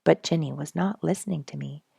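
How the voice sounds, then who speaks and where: A woman reading a nature storybook aloud in an expressive, measured, dramatic storytelling manner. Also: low-pitched, vocal fry, husky, no room sound, clean studio sound. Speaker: audiobook reader and show host.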